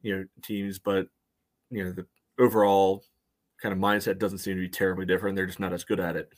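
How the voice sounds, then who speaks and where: Man, lowish pitch, podcast co-host, casual sports discussion, conversational, somewhat hesitant phrasing. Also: little pitch movement, brisk. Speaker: soccer fan podcast co-host.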